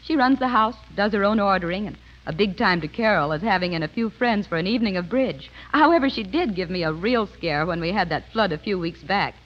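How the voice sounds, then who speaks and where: Young female guest, mid-pitched voice, scripted 1930s radio interview, muffled vintage recording. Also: expressive, brisk, few pauses. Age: young adult. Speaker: interview guest, personal business manager.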